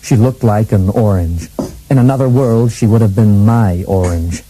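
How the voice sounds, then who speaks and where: A man playing a deadpan hardboiled bodyguard. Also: lowish voice, normal speed, slight background noise, natural voice, low-quality recording. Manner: deadpan parody of hardboiled narration. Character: deadpan hardboiled male bodyguard-assassin narrator. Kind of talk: 1980s comic radio play.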